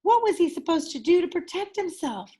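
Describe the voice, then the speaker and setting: A woman reading a children's picture book aloud as a teacher, with enthusiastic, animated delivery and dramatic emphasis. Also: very high voice, brisk. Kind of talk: expressive children's story reading.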